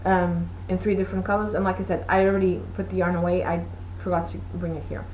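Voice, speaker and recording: Woman, Portuguese first language, casual chat, lots of fillers, slightly low voice, faint hiss. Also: little pitch movement, fast talker, a little mellow, rough recording. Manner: rambling, chatty, many fillers. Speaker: knitting podcast host.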